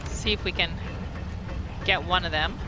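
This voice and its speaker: A woman giving casual, animated commentary as she plays a video game, often talking to the characters. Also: on the low side, moderate pace. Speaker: gaming video host. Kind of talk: casual game playthrough commentary with journal read-alouds. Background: game music.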